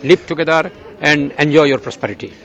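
An older man from Bangladesh answers interview questions outdoors with slow, earnest conviction. His voice sits a little high for a man, and he lingers on words as if preaching. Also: fairly bright tone. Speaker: agricultural journalist, guest.

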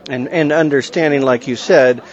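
A man's voice: mid-range voice, steady tone, normal speed. Friendly male interviewer, muffled sound. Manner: friendly, agreeing, many "mm-hmm"s. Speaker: interviewer, agricultural journalist. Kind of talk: conversational interview.

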